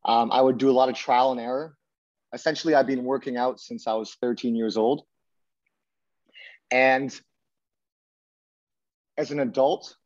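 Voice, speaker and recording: A man's voice: mid-range voice, no room sound. Man on a dry, muffled call. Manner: relaxed, steady storytelling with fillers. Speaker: guest, strength coach. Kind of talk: casual remote interview.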